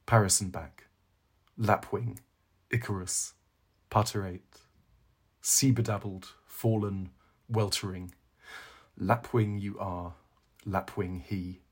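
A man reading a brooding, ironic young intellectual from a novel, musing in clipped, declamatory phrases with a husky voice. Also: on the low side, fairly even intonation, takes it slowly, long pauses.

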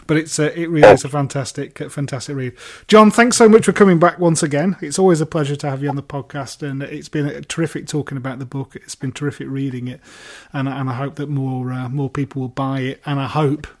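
A man's voice: mid-range voice, fairly even intonation, fast talker, darkish tone. A man hosting a football podcast, chatting enthusiastically with a guest and often repeating words as he starts a thought. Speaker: podcast host. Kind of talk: casual interview chat.

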